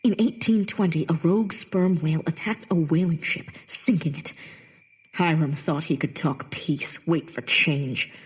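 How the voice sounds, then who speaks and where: A woman's voice: low voice, a little slow, slightly rough, exaggerated voice. An actress playing a bitter, theatrical Victorian woman poet in a comedic period audio drama, with a haughty, sardonic delivery. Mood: fervent, bitter.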